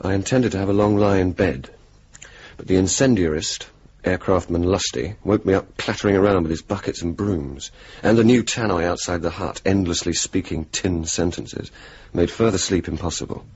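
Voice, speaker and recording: An actor playing a witty young RAF officer in a radio drama, speaking with mock-pompous turns of phrase and service slang. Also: male voice, low voice, moderate pace, darkish tone, husky. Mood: wry storytelling. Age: young adult. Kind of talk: 1980s radio drama, narration and banter. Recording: dull, hissy old radio recording.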